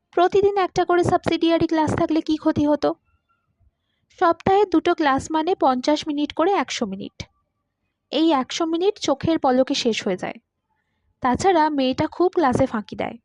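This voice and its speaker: A courteous, talkative man of about forty in an audiobook, read by a woman, confiding and wistful. The voice sits high, and the sound is dry and free of echo. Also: darkish tone. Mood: lovelorn, anguished. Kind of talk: audiobook character dialogue.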